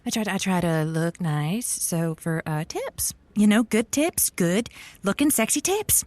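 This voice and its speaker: Hesitant, slow-talking flustered library worker. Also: female voice, medium pitch, sing-song, a little mellow, no room sound. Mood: flustered, coy. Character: flustered, coy female library worker hiding a secret. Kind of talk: scripted audio comedy acting.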